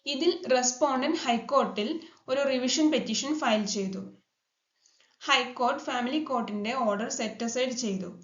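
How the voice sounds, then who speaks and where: Malayali lady explaining law stuff formally, kinda high voice, echoey dull recording. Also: normal speed. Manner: formal, mixes in English legal terms. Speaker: legal explainer host. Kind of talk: scripted explanatory narration. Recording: dull lo-fi sound, echoey room.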